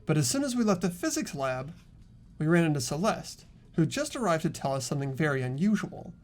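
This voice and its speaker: Man playing an animated, higher-voiced earnest teenage boy. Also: fairly quick. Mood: earnest, recounting. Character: earnest teenage boy investigator recounting a murder case. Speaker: voice actor in a fan playthrough. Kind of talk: dramatic game dialogue and recap narration.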